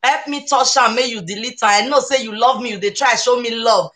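A woman's voice: a little high, a little fast, a bit bright. A woman with a Nigerian accent hosting a casual cooking live stream from her home kitchen, chatting playfully and exuberantly and often repeating phrases. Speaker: cooking show host. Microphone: webcam or phone camera at arm's length to a few steps away.

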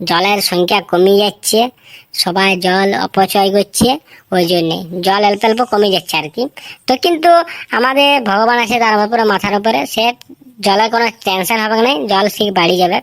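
A woman's voice: medium pitch, fairly bright tone, lightly acted. A woman voicing a whiny, chatty village schoolboy in a comic cartoon, with a rural western Bengali accent and childlike lisped, rambling, repetitive speech.

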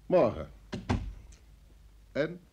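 An actor playing a stern, impatient security service chief in a radio play, curt and probing. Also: male voice, medium pitch, sing-song, extremely slow, dull audio, background noise. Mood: brisk, probing.

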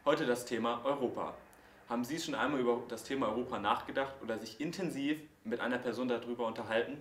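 A young male presenter rattles through his lines at a quick clip. His voice sits at an ordinary middle pitch. Faint background hum from the street outdoors. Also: reverberant. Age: young adult. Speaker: news presenter and street reporter. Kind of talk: youth news show, street interviews. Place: studio desk, then town square outdoors. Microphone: handheld reporter mic outdoors.

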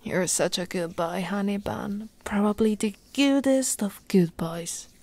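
A woman playing an affectionate, teasing lamia girlfriend in an ASMR roleplay, soft and cooing, often slipping into whispers. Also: mid-range voice, a little slow, dry recording. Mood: doting, teasing.

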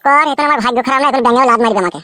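A woman's voice: high voice, very fast, pushed and bright. A woman voicing a plaintive, self-pitying young man in a comedy cartoon. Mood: aggrieved. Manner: plaintive, complaining. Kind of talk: Bengali comedy cartoon dubbing.

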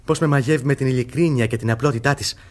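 An actor playing a lovestruck, cunning young gentleman suitor in a radio comedy, speaking in an ornate, plaintive way. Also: mid-range voice, natural voice. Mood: adoring. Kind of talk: theatrical radio comedy acting.

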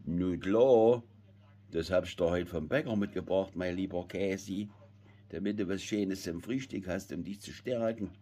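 A man's voice: low-pitched, moderate pace, mellow. A man voicing a kindly, down-to-earth puppet friend.

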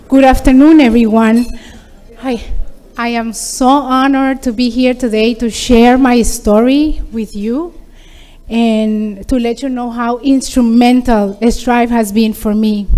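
A woman's voice: on the high side, mellow. A middle-aged woman from Peru, giving an earnest prepared speech as a program graduate, with small restarts. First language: Spanish.

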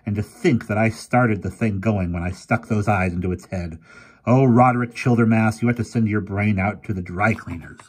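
A man's voice: fairly low pitch, moderate pace, husky. A man doing a crusty old professor. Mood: grumbling. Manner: grumbling, theatrical old man. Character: gruff elderly male professor, crusty and self-blaming.

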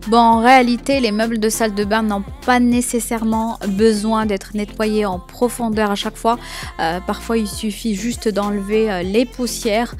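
Chatty young woman, raised pitch. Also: steady tone, normal speed, darkish tone, faint music behind. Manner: chatty, enthusiastic product tips with frequent hesitations. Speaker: home cleaning vlogger. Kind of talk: voice-over narration of a cleaning video.

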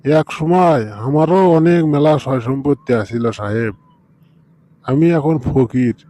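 A man's voice: a little high, a little slow, mellow, close to an everyday voice. A man voicing a ruined, humbled rickshaw puller in an amateur cartoon, pleading abjectly.

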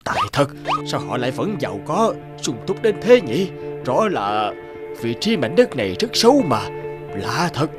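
A man's voice: medium pitch, very animated, moderate pace, music in the background, close to an everyday voice. A man voicing a flustered, guilt-ridden middle-aged feng shui master in a folk-tale cartoon, hesitant and stammering. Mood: uneasy, apologetic.

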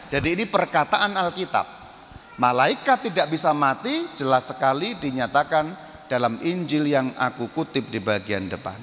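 Animated priest in a reverberant church. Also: male voice, medium pitch. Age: middle-aged. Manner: animated, emphatic, rhetorical questions answered himself. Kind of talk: religious teaching to a congregation. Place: inside a church, standing before congregation. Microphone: handheld microphone through the hall.

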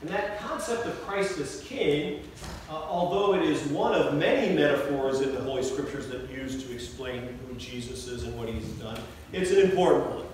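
A man's voice: a little high, normal speed. A middle-aged man, a pastor, reading and preaching to a congregation from a church pulpit. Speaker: Lutheran pastor. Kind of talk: gospel reading and sermon. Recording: echoey church from far off, faint noise.

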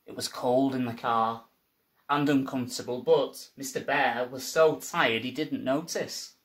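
A man's voice: medium pitch, fairly even intonation, measured pace, fairly bright tone. A man in his forties reading a children's story aloud in a theatrical, playful teacher's manner.

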